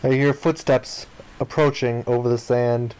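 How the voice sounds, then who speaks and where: A man's voice: mid-range voice, fairly even intonation, unhurried, a little mellow, slight background noise, low-quality recording. Man dramatically reading story text aloud. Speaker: let's player reading a visual novel aloud. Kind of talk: dramatic read-aloud narration of game text.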